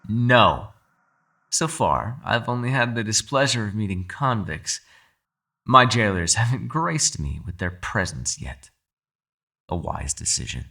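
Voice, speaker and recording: Deep, sly fox spirit, acted by a man. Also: big pitch swings, vocal fry, pushed and bright, exaggerated voice. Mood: proud, cool. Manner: silky, taunting, theatrically measured, mock-polite. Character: captive male kitsune, a sly, menacing, mind-controlling fox spirit. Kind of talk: ASMR roleplay voice acting.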